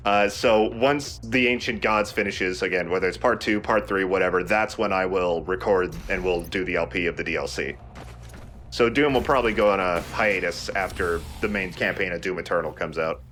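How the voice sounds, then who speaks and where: Low-voiced man casually commentating on a game. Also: normal speed, fairly bright tone, slight background noise. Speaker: let's play host.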